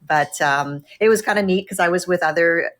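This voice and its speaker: Woman from Canada, low voice, hesitant, fillers, laptop mic, home room. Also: moderate pace, a bit bright. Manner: hesitant, many fillers and restarts. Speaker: guest. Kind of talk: casual video-call chat. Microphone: laptop camera on video call.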